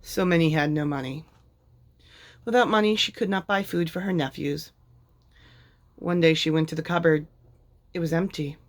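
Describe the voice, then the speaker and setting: A woman with a slightly low voice reads a children's picture book aloud. She tells it deliberately and expressively, as a storyteller does.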